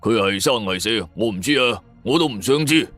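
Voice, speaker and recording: A man's voice: on the low side, animated, brisk, fairly bright tone. A man with a Hong Kong Cantonese accent voicing a scornful, resentful wealthy man in an audio drama. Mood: scornful, bitter. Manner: haughty, sneering. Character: scornful, resentful wealthy man, callous about his sister. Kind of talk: audio drama character dialogue. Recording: background music under voice.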